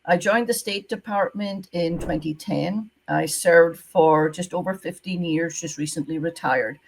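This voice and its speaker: A woman's voice: on the low side, a little slow, creaky, a little hiss or hum, poor audio. A retired woman from Scotland with a Scottish accent, a former visa officer giving emphatic advice in an interview over a video call. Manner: emphatic, repeats key advice.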